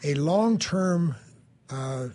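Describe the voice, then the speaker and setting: A middle-aged male physician co-hosting a live call-in radio show, talking in a relaxed, conversational way with frequent restarts. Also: on the high side, very slow.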